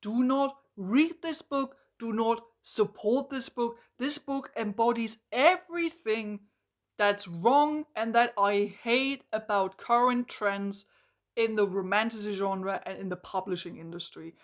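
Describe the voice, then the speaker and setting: A hoarse woman reviewing a book in an exasperated, emphatic rant, full of "like" and restarts. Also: a little high, unhurried.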